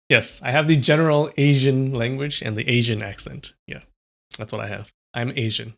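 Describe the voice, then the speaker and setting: Young man, California-raised, casual storyteller, gravelly mid-range voice, chatty. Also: medium pitch, fairly even intonation, normal speed, a bit bright, a little hiss or hum. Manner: chatty, answers chat between passages. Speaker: host reading a novel aloud. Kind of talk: casual livestream reading and chat.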